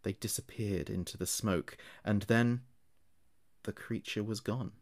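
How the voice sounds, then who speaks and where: A man narrating a scripted horror story in a measured, dramatic and ominous manner. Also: on the low side, steady tone. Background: music.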